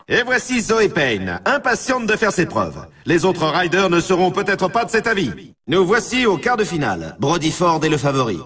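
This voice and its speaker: High, excitable snowboard race announcer. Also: male voice, husky, far from the mic, exaggerated voice. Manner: dramatic, emphatic showman delivery. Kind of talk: sports game commentary.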